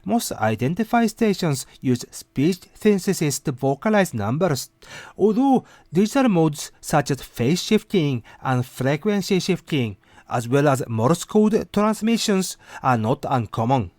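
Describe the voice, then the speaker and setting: A man's voice: a little high, sing-song, measured pace, a little mellow, no room sound. A man hosting a casual explainer, talking conversationally and putting questions to the listener. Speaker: host of a rant and explainer series. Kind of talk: casual explainer narration with quoted passages.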